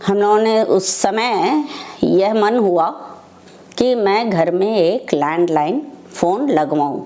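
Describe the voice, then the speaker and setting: An older woman, telling personal devotional stories as a devotee, in short phrases with pauses between them. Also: mid-range voice, expressive, mellow. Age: older, over 60. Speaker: devotee sharing personal testimony.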